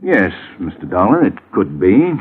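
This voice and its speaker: A proud, defensive man in an old radio drama. His deep, husky voice is formal and stiff, with indignant dignity. The sound is thin and phone-like, like a worn vintage broadcast. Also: very animated, normal speed, full character voice. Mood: stiffly defensive. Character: dignified, defensive man hiding a love affair. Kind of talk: 1950s radio drama acting. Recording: tinny, fuzzy vintage radio recording.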